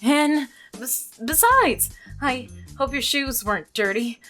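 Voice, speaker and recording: A naive, nerdy young milkman from a visual novel, acted out by a woman, earnest and bashful. The delivery stammers and moves around in pitch, with music playing behind it. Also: expressive, takes it slowly, pushed and bright, full character voice. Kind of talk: visual novel character voicing.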